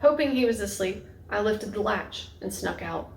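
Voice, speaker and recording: A woman author giving a dramatic reading of a narrative story in a creaky voice. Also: medium pitch, expressive, moderate pace. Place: indoors in a bookshop. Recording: echoey room, faint noise.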